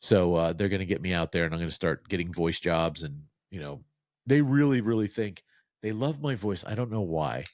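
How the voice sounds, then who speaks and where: A middle-aged man from New Jersey with a low voice tells long, rambling stories about himself on a podcast. He pokes fun at himself and slips into quick put-on voices when he quotes people. The recording is dry and close, with no room sound. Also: darkish tone, dry recording. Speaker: podcast co-host. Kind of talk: casual storytelling chat.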